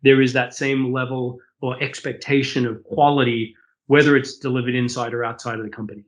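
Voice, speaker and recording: A man answering interview questions as a corporate executive, conversational and explanatory, with measured business phrasing. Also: medium pitch, little pitch movement. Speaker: corporate shared services executive, interview guest.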